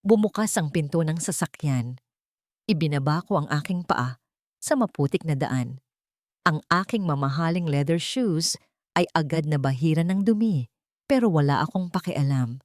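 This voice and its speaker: A woman with a fairly low voice tells a dramatic story. Her delivery is measured and theatrical, as in scripted audio fiction. The sound is dry and close. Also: lively intonation, a little mellow, dry recording.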